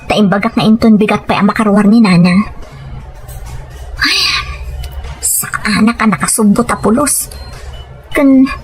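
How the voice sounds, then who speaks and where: A woman's voice: fairly high pitch, natural voice. A woman with a Filipino Ilocano accent playing a deceitful gambling wife in an audio drama, with music behind her. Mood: anxious, frustrated. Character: deceitful gambling wife and dutiful-sounding daughter. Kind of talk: scripted audio drama acting. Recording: faint music bed behind voice.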